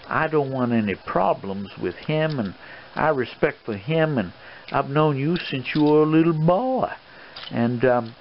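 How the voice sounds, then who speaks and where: Give the part of an old colonel acted out by a man in an ASMR role play. He lectures like a stern father, rambling and halting as he goes. The sound is dull and lo-fi, with clear noise behind the voice. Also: mid-range voice. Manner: rambling, hesitant, fatherly admonishing. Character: old Colonel lecturing his friend's gambling son, paternal, stern.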